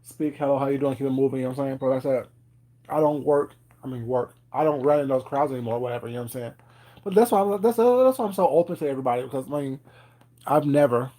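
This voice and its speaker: A man's voice: medium pitch, fast talker, mellow, poor audio. A man, chatting casually on a live stream, rambling with lots of fillers and laughing often. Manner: rambling, many fillers and "you know what I'm saying"; laughs often, teasing viewers. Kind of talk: casual chat.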